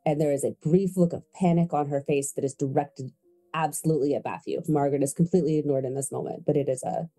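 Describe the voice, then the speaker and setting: Female roleplayer, lowish and deeply mellow, describing her character's actions conversationally. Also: fairly even intonation, fast, faint music behind. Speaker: player in a tabletop roleplaying game.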